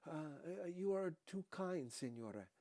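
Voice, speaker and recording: A man's voice: sits high, animated, moderate pace, dark tone, pitched above the performer's own voice. A sheepish young male cop from a storybook, as a man reads him. He is polite and deferential, hesitating as he speaks. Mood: flattered, hesitant.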